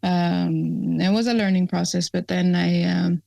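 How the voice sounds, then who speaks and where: A woman from Ethiopia with a gravelly, fry-laden voice on the lower side, talking in a halting, thoughtful way full of ums and restarts. The sound is dry and close, with no room around it. She speaks as a guest in a relaxed interview. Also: no room sound. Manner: hesitant, many ums and restarts. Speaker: activist guest. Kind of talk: casual interview conversation.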